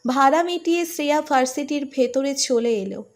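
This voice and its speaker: A woman's voice: high voice, expressive, moderate pace, faint music behind. A woman reading a scripted romantic story as its narrator.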